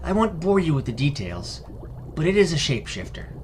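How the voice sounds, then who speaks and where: A man voices a friendly fantasy druid. He is calm, knowing and a bit folksy as he explains. Some noise is audible behind him. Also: a little high, a little fast, voice pushed up. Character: friendly, knowledgeable village druid, calm and wry.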